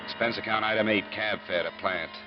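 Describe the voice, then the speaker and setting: An actor playing a tough, wry American insurance investigator, speaking in clipped, dry 1950s hardboiled radio style. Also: male voice, fairly low pitch, fairly even intonation, a little fast, natural voice. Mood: dry, rueful. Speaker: lead actor and narrator. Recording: muffled old radio recording, music behind.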